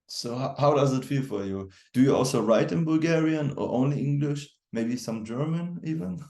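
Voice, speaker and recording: Male interviewer, low dark voice with gravelly fry, loose chatty questions. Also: normal speed.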